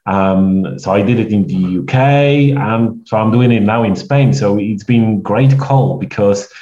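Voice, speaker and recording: A man with a Polish accent, a guest in an interview, telling stories in a halting way with many restarts. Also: on the low side, dark tone. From Poland. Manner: rambling, halting storytelling with frequent restarts. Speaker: guest, networking coach. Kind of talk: conversational podcast interview. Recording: echoey, dull lo-fi sound.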